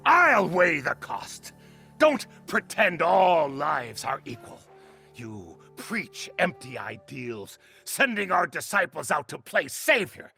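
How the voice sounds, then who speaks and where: An actor playing a bitter, hard-nosed senior craftsman in a video game, speaking in a scornful, deliberate, theatrical way. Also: a little high, very animated, vocal fry, sharp tone, faint music behind, full character voice. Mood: cold, defiant. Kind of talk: dramatic video game voice acting.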